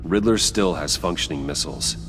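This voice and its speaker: An actor playing a brooding, resolute crime-fighter in a video game, talking in a measured, serious way. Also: male voice, low voice, over music, natural voice. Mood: focused, grave.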